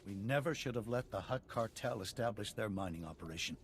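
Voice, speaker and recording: Animated, earnest male planetary leader. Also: on the low side, fairly quick, a little hiss or hum. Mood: grateful, regretful. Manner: formal, earnest.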